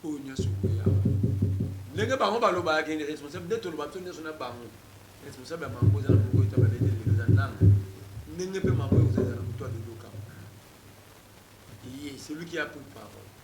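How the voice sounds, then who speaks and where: Guy arguing politics on a talk show, keeps repeating names, bit high voice, echoey room with lots of noise. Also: a little fast, music in the background. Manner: emphatic, mixes French and Lingala, repeats names insistently. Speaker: political commentator guest.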